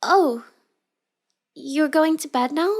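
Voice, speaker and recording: Woman acting as a shy sweet girl villainess, really high voice, slow n halting, intimate roleplay audio. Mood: sweet, guarded. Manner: halting, apologetic, soft-spoken and sweet. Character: shy, tearful young woman villainess feigning helplessness.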